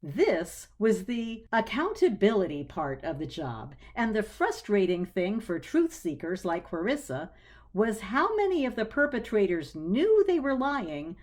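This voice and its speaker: A woman's voice: medium pitch, sing-song, unhurried, a little mellow. A woman reading scripted story narration in a wry, conversational storytelling manner.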